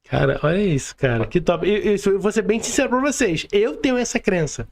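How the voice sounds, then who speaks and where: A man's voice: high voice, expressive, fairly quick, gravelly. A man with a Brazilian accent hosting a casual podcast, effusive and informal, often repeating himself. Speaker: podcast host. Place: remote video call.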